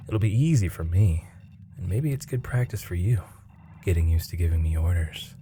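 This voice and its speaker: A man playing a seasoned, flirty and chatty spaceship mechanic in an intimate ASMR roleplay, teasing and breathy, dropping toward a whisper. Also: low voice, expressive, moderate pace, dark tone. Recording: clearly noisy ambient background hum.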